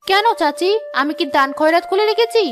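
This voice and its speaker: A woman playing a hot-headed young village wife and mother in a cartoon drama, sharp and accusing, firing off rhetorical questions. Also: very high-pitched, animated, fairly quick. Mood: curt, rude. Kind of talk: Bengali cartoon drama acting.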